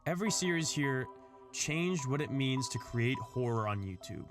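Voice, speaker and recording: A man's voice: medium pitch, expressive, moderate pace. Man narrating over music. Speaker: video essay narrator. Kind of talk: scripted narration. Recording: background music under the voice.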